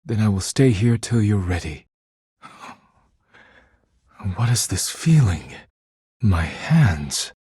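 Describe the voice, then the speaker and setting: An actor playing a proud, formal male artist in a video game, with a husky voice and deliberate, theatrical phrasing. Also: fairly low pitch, sing-song, dark tone, no room sound, clean studio sound, heavily acted. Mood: earnest, awed. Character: proud, formal, easily wounded male artist, devoted when befriended.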